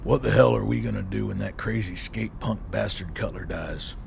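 An actor playing a blunt, well-read army colonel who swears freely and makes wry remarks. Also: male voice, lowish voice, moderate pace, vocal fry, slight background noise. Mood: dry.

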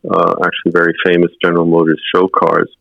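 A man's voice: sits low, completely flat delivery, fast, creaky, dry recording, highs cut off. A sixty-year-old man telling anecdotes about his life as a guest in a conversational interview. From born in United States, moved to Germany as a child.

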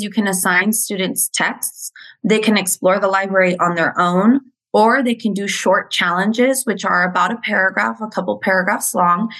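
A woman teacher talks earnestly in an interview, walking through how a reading program works. She grew up in Mexico. Her pitch sits in the middle range. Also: a bit bright, poor audio. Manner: earnest, explanatory. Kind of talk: interview conversation.

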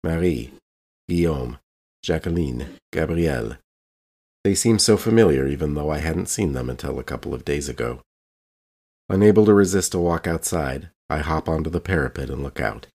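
A man playing a brooding, solitary character in a measured, reflective first-person scripted monologue for a tabletop game. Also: a bass voice, animated, darkish tone, heavily acted. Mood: brooding, wistful. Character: brooding, solitary male bell-tower dweller, wistful narrator.

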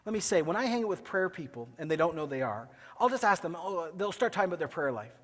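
A man who grew up in Ecuador preaching a sermon as pastor, conversational and animated, acting out little asides. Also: a little high, fast talker. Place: large auditorium. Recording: long hall reverb.

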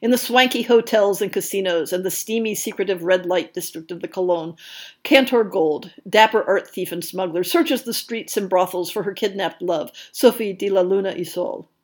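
A woman's voice: mid-range voice, moderate pace. Woman podcast host reading from a script. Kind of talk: scripted narration, reading book listings and blurbs.

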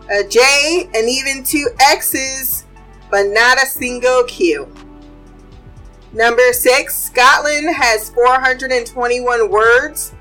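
A woman's voice: high-pitched, sharp tone, low-quality recording. A woman hosting a casual podcast, running through celebrity gossip and fun facts, chatty and blunt, swearing freely. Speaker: podcast host. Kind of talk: casual pop culture chat and fun facts.

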